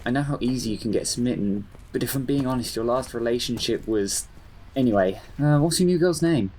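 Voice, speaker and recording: A man's voice: medium pitch, fairly quick, warm tone, slight background noise, lightly acted, low-quality recording. A man playing a concerned, candid friend and coworker in an audio drama. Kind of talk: audio horror drama acting.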